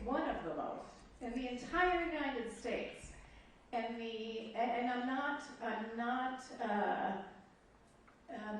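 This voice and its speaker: A woman speaking as an official at a formal public hearing, halting and deliberate, putting emphasis on key points as she makes remarks and asks questions. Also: fairly high pitch. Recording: echoey room, distant mic, faint noise.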